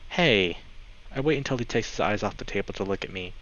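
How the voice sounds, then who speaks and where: A man's voice: on the low side, rapid-fire. A man narrating a visual novel aloud for a let's play, occasionally stumbling and correcting himself. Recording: slightly dull mic, music behind. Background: clear game music.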